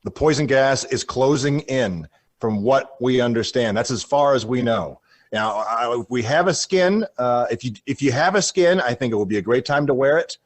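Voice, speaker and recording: A man's voice: mid-range voice, expressive, no room sound, lightly acted. A flustered, alarmist news anchor, acted by a man in a comic radio bulletin. He speaks in a medium register with grave drama, tripping over his words and repeating them. The sound is close and dry. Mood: urgent, flustered. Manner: dramatic, halting, repeats and stumbles over himself. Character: frantic, solemn male radio news anchor, absurdly alarmist.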